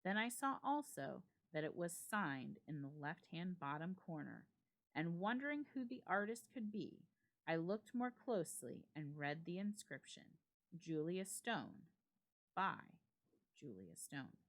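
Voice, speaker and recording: A woman giving a dramatic reading of a classic ghost story, theatrical and suspenseful, with deliberate emphasis. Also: on the low side, very animated. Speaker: library staff storyteller.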